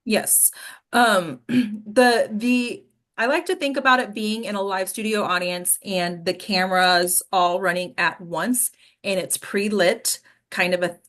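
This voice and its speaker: A woman's voice: mid-range voice, unhurried, a bit bright. A woman hosting and coaching actors in a casual, instructive discussion, often checking in with short tag questions. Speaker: host and acting coach leading a discussion.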